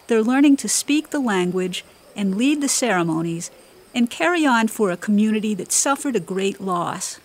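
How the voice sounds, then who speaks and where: A woman radio reporter narrating a scripted news feature in an even, clear reading voice. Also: medium pitch, moderate pace.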